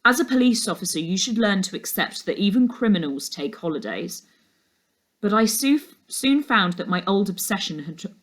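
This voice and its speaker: A woman's voice: mid-range voice, fairly bright tone, close to an everyday voice. An intense male detective from a storybook, acted out by a woman reader. He is burdened and obsessive, and he speaks with earnest, dramatic emphasis in a mid-range voice.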